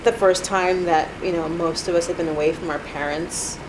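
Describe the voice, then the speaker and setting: A woman's voice: on the low side, fairly even intonation, moderate pace, background noise. A woman in her thirties being interviewed at home, speaking hesitantly with many fillers and restarts. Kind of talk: reflective interview. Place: apartment.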